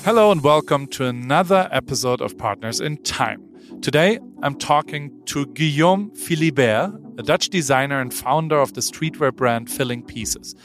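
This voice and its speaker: A man from Germany hosting an interview podcast, chatty and lively, often restarting his sentences. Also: mid-range voice, moderate pace, music in the background. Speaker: podcast host. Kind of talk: casual interview.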